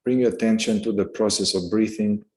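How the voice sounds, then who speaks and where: Low-voiced man guiding a meditation. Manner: calm, unhurried, pausing between short phrases. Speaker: meditation guide. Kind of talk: guided meditation, unscripted instruction.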